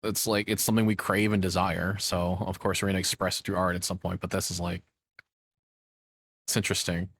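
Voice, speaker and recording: A man's voice: low-pitched, flat delivery, fairly quick, fairly bright tone. A man giving casual, informal reaction commentary as a streamer, with hesitant asides. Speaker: VTuber reacting to a video.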